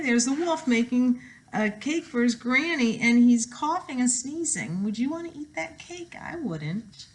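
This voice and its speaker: A woman reading a storybook aloud to children, expressive and emphatic, drawing out words and adding asides to her listeners. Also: a little high.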